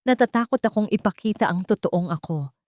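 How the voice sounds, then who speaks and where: A woman's voice: medium pitch, animated, fairly quick, darkish tone, dry recording, studio quality, voice pushed up, lightly acted. A woman voicing a lovestruck male CEO in a romance audio drama, pleading earnestly and emotionally. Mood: fearful confession.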